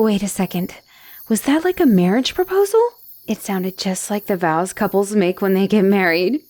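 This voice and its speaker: Woman voicing clingy yandere girlfriend, young, lovestruck and obsessive, slow delivery, sighing, softly teasing, audio roleplay, dry close sound. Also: sits high, no echo. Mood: excited, teasing. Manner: breathy sighs, teasing, drops into soft whispers. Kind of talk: intimate one-sided audio roleplay drama.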